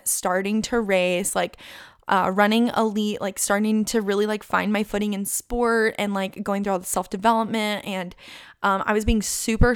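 A woman's voice: medium pitch, clear, resonant tone, no echo, studio quality. A woman in her twenties hosting a casual solo podcast, chatty and candid, leaning on "like" and "literally" and laughing often. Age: 20s. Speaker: podcast host.

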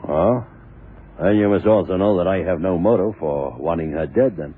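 A proud, prickly male singer answers evasively in a deep, very husky voice. The delivery is seventies radio drama. The recording is narrow and tinny. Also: moderate pace. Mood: indignant. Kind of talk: 1970s radio crime drama acting. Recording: tinny, band-limited old radio recording.

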